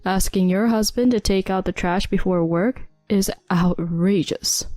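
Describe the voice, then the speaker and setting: A woman's voice: mid-range voice, a little slow, darkish tone, no echo, faint music behind, close to an everyday voice. A woman voicing a dismissive young husband who talks down to his pregnant wife. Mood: scornful. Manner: curt, condescending.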